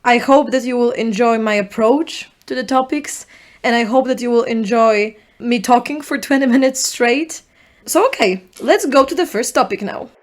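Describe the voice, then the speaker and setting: A young woman with a Polish accent, a native Polish speaker, hosting a solo podcast in an earnest, reflective way, speaking in short halting phrases. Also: a little high. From Poland. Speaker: podcast host.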